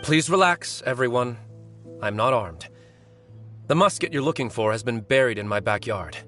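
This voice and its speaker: A man voicing a weary, composed novelist and confessed avenger in a video game, speaking politely in measured, articulate phrases. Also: mid-range voice, lively intonation, sharp tone. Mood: calm, resigned. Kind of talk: dramatic video game voice acting. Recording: music behind the voice.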